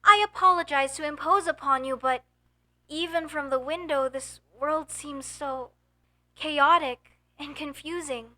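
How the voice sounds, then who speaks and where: Woman acting high, bright, flustered young elf mage girl. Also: a little slow, exaggerated voice. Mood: pleading, nervous. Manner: formal, slightly stilted phrasing with nervous hesitations. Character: young female elf mage student, flustered, earnest, proud. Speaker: voice actor in audio roleplay. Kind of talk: comedic audio roleplay acting.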